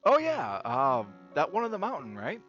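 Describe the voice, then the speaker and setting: Easygoing visual novel schoolboy narrator, male player, lively intonation, slightly muffled recording with music. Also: a little high, normal speed, pitched above the performer's own voice. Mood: teasing. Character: teenage student narrator-protagonist, easygoing and teasing boy. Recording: dull lo-fi mic, faint music behind.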